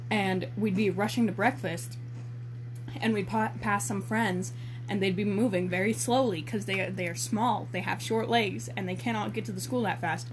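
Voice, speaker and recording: A woman's voice: mid-range voice, steady tone, moderate pace, slight background noise. A young woman chatting casually as a co-host, making giggly, informal jokes about a story being read aloud. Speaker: co-host reading dialogue and commenting. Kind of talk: casual comedic banter.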